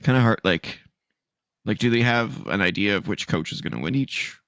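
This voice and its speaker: A man co-hosting a casual podcast, stuffy and sniffly from allergies, loose and laughing, swooping high for emphasis. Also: lively intonation, normal speed, fairly bright tone, no room sound. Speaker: podcast co-host. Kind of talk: casual chat.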